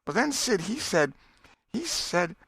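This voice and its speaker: A man's voice: high-pitched, very animated, slow pace, creaky, fairly bright tone, husky, dry recording, voice pushed up, heavily acted. A man voicing a mischievous, earnest young boy with a rural Southern American accent, telling his tale in an audiobook. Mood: earnest, halting. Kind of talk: audiobook character voice.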